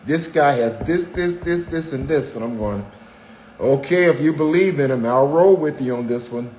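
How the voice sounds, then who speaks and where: A man's voice: fairly high pitch, normal speed, darkish tone, a little hiss or hum. A man in his seventies chatting candidly on a podcast, telling stories with wry, self-mocking jokes. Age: 70s. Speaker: podcast co-host, husband. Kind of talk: casual chat.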